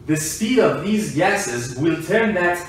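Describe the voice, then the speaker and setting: Echoey, higher-voiced man explaining informally. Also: animated, slow. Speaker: explainer, self-described engineer and scientist. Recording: echoey room, distant mic, faint noise.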